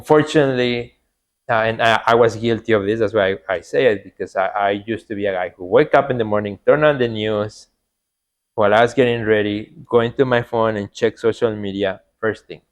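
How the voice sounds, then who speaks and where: Earnest Mexican-accented man, fairly low voice. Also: brisk. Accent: Mexican Spanish. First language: Spanish. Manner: earnest, repeats words and adds "you know" often. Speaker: podcast guest, artist coach. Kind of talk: casual interview talk.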